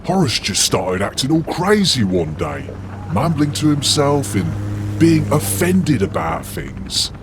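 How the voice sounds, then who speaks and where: A man's voice: mid-range voice, a little slow. A man doing a dry, gossipy warrior. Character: weary laddish male demigod warrior. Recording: steady background noise.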